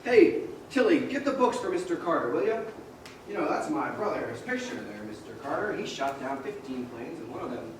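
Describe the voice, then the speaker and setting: Earnest, hot-tempered male lead, stage actor, high and swooping, radio play, heavily echoing hall. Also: sing-song, fairly quick, noisy background. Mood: proud, excited. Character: earnest, frantic, hot-tempered family man. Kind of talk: old-time radio play acting on stage. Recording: distant echoey stage recording with music.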